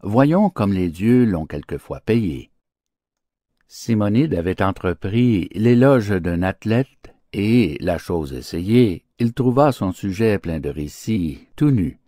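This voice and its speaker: Slow, expressive low-voiced male fable narrator. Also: no room sound, clean studio sound. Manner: declamatory, theatrical phrasing. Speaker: audiobook narrator. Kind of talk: expressive literary fable reading.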